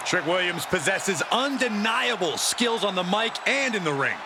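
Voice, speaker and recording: Excited game wrestling announcer: high pitch, emphatic calls, very loud crowd. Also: male voice, moderate pace. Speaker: wrestling commentator. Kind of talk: sports commentary. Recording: loud arena crowd and noise.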